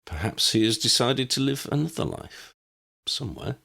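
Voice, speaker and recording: A man voicing a calm, courteous old man in an audiobook, speaking in a measured, formal and unruffled way. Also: medium pitch, gravelly, husky, pitched above the performer's own voice, full character voice. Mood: gently reassuring. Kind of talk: audiobook character voice.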